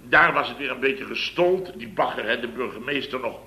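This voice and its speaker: An actor playing a blustering middle-aged contractor boss who rambles through an exasperated tale, in 1960s radio comedy acting. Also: male voice, medium pitch, a little fast, sharp tone, reverberant. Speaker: construction firm boss.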